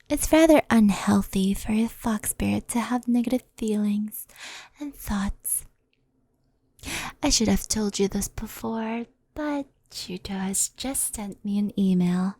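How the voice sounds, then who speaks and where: Casting: woman as gentle, mischievous kitsune mentor for ASMR roleplay; mellow, slow delivery, soft intimate whispering. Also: mid-range voice, creaky, husky, dry recording, heavily acted. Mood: softly anxious. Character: gentle mischievous female fox spirit, doting mentor, faintly anxious.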